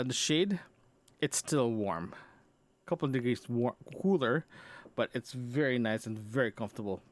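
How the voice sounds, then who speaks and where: A man's voice: mid-range voice, dry recording. A man chatting casually in a personal audio diary, rambling and often repeating and rephrasing himself. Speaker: podcast host.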